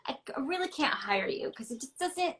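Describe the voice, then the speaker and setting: A woman's voice: sits high, lively intonation, normal speed, fairly bright tone. Woman on a video call from home, candid, emphatic, lots of likes and ums. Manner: candid and emphatic, many fillers like "like" and "um". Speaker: interview guest, ballet dancer. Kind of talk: casual interview over a video call. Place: home, ground floor apartment.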